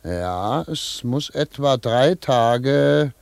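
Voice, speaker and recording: A man's voice: medium pitch, lively intonation, drawn-out words, creaky, a little mellow, no echo, heavily acted. A man playing a brusque, cynical investigator in a German radio drama, speaking with sardonic, clipped authority. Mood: reflective. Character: brusque, cynical male investigator, sceptical and commanding. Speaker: investigator and narrator.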